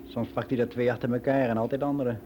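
An actor plays a world-weary middle-aged man in a radio drama monologue. His voice is dark and relaxed, of ordinary male pitch. He rambles on frankly and matter-of-factly, like someone sharing gossip in private, with some music in the recording. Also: fast, slight background noise, lightly acted. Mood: gossipy. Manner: rambling, confiding, matter-of-fact.

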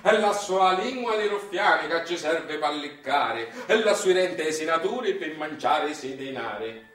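A man's voice: a little high, animated, measured pace, a bit bright. An actor playing an anguished, bitter Sicilian father from the countryside in an emphatic, incantatory theatrical monologue with a Sicilian accent. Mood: mocking chant. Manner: incantatory, emphatic, repetitive phrasing. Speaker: stage actor performing a monologue. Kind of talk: theatrical monologue, chanted and declaimed. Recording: roomy distant stage recording with music.

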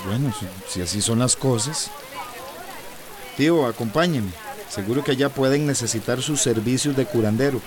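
A man's voice: medium pitch, normal speed, crowd noise, close to an everyday voice. An actor playing a feisty, protective village man with a Central American accent in a radio drama, blunt and plain-spoken. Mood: grudging.

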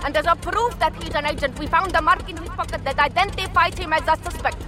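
An actress playing an effusive, ceremonious government official in a comic radio play. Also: female voice, very high voice, fast, heavy background noise. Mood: officious. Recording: loud crowd, vehicle and music behind.

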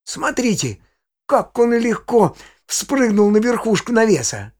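Kindly old grandpa in a kids audio play, raspy voice, really swingy intonation. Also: male voice, high voice, a little slow, full character voice. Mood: lively explaining. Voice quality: husky, raspy. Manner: animated, explaining to a child. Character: kindly, knowledgeable old grandfather on a nature walk.

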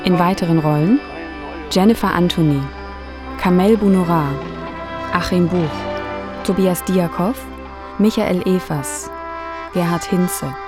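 A woman reading scripted credits as an announcer in a measured, formal manner. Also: on the low side. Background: music.

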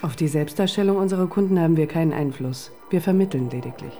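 An actress in a radio crime drama playing the smooth, suggestive woman who manages a dating site. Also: sits low, fast talker, mellow, faint music behind, close to an everyday voice.

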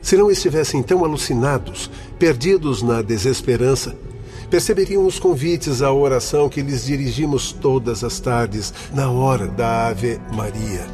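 An actor playing a learned, courteous spirit doctor in a radio drama, explaining things in formal, articulate speech. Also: male voice, mid-range voice, normal speed, a little mellow, close to an everyday voice. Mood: compassionate. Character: earnest learned spirit doctor, courteous guide of a celestial institute. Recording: music behind the voice.

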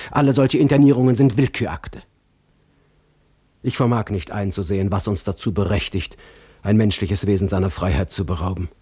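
A defiant intellectual in a radio play, with a husky, fairly low voice. He declaims coldly and deliberately, stressing his points, in a very dry recording. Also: warm tone, dry recording. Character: defiant male intellectual coldly denouncing confinement. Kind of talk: declamatory reading of a polemic in a radio drama.

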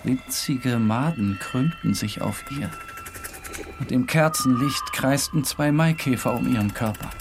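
A man playing a disturbed, morbid painter who narrates in a brooding, deliberate literary monologue. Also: medium pitch, background noise, natural voice. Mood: haunted, brooding.

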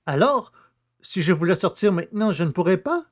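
A man performing a plain-spoken poacher behind bars in a radio play. He is naive and quick to grumble, with folksy turns and a lively, swinging pitch. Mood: puzzled. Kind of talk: French audio drama acting.

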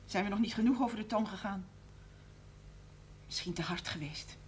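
Woman playing worried middle-aged farm mother, plain talker, ordinary pitch, old tv drama with a bit of hiss. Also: moderate pace, lightly acted. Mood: worried, weary. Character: worried, weary farmer's widow and mother. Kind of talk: television period drama acting. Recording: faint noise, old television soundtrack.

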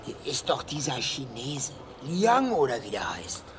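A man playing a nervous small-time informant in a radio play, muttering evasively in a breathy, hushed voice. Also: mid-range voice, wildly swooping pitch, a little slow, a little hiss or hum. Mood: nervous, confiding.

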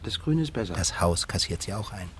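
Irritable, evasive husband, swinging intonation. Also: male voice, low-pitched, expressive, a little fast, noisy background. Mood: irritated. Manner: terse, muttering asides. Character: irritable, evasive married man juggling secrets. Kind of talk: naturalistic radio drama.

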